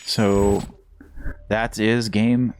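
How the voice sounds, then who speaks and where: A man's voice: fairly low pitch, level tone, drawn-out words, a little mellow. A man co-hosting a game stream, giving playful mock-genteel commentary and casual banter.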